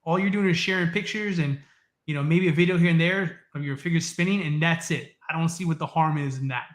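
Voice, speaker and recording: A man appearing as a guest on a casual podcast, giving his opinions in a relaxed way. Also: fairly high pitch, fairly quick, roomy sound.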